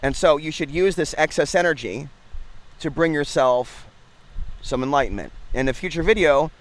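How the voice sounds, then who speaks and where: A man's voice: a little high, animated, moderate pace, low-quality recording. A man delivering an impassioned, preachy monologue as an online video host, with a confrontational, grandiose and profane manner.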